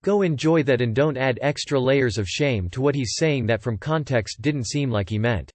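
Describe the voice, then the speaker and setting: A man reading online comments aloud in a plain, even scripted narration. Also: mid-range voice, normal speed, no echo.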